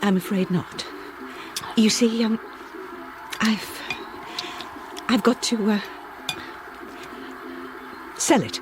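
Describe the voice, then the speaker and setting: An actress playing a gentle, weary widowed farm woman in a radio play, speaking hesitantly and apologetically. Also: medium pitch, fairly even intonation, extremely slow, pauses often, noisy background. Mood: hesitant, apologetic.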